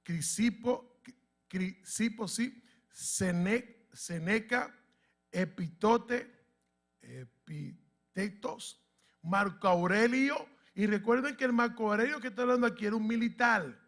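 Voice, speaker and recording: A man's voice: sits high, slow, fairly bright tone. A man from the Dominican Republic and Puerto Rico, preaching and teaching a congregation, often putting questions to them. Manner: emphatic, asks the congregation questions. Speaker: pastor.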